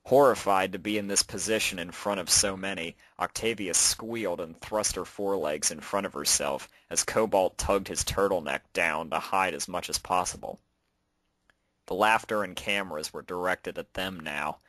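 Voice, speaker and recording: A man's voice: low-pitched, normal speed, fairly bright tone, no room sound, poor audio. A man reading out a story as its narrator, in a scripted storytelling style. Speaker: narrator and fan fiction author.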